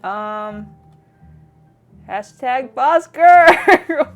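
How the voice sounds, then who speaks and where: Expressive, higher-voiced woman playing a game. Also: very slow, soft background music. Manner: animated, reactive, exclaiming and joking. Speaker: gaming video host. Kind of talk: playthrough commentary, reading game dialogue aloud.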